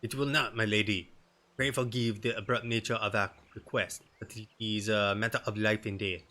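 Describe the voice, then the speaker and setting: A man's voice: lowish voice, steady tone, moderate pace, bright, forceful tone. A man voicing an earnest, courteous knight from a fantasy game in a formal, courtly manner.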